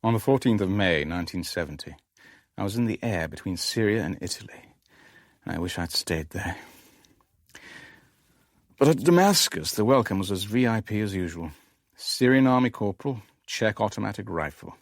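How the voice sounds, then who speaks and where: A man's voice: fairly low pitch, animated, normal speed, dry recording. An actor playing a worldly, wry Levantine businessman who narrates a radio drama in a dry, clipped way. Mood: wry, matter-of-fact. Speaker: narrator and lead character. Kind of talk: radio drama first-person narration and dialogue.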